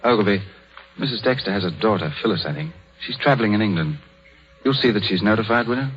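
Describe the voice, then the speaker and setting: An actor playing a young, idealistic, self-sacrificing surgeon with a wry bitterness in a 1930s radio drama. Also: male voice, fairly low pitch, expressive, fast talker, natural voice. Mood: grave, restrained. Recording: muffled 1930s radio broadcast with music.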